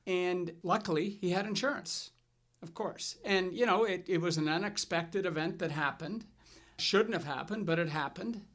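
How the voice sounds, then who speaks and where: A middle-aged man hosting a financial advice show, telling stories in a relaxed way with fillers and restarts. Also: sits high, moderate pace. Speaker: financial advisor and show host. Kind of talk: casual scripted chat.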